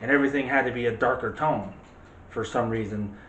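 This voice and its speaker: A man's voice: mid-range voice, fairly quick, a bit bright, highs cut off, slight background noise. A man hosting a movie discussion show in a casual, chatty and opinionated way. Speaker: host of a movie review show. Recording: echoey room.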